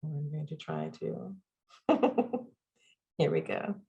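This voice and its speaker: A woman's voice: sits low, huge pitch swings, slow, creaky, dark tone, breathy edge, highs cut off. A woman presenting and moderating an online panel on a video call, upbeat and listing items with frequent ums. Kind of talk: webinar presentation.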